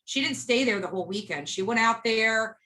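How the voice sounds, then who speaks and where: A woman with a bright, forward voice recounts things as an interview guest. She is blunt and emphatic, narrating in casual speech and slipping into quoted dialogue. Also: on the high side, lively intonation, fairly quick. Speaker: interview guest, street protester. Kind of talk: animated casual storytelling.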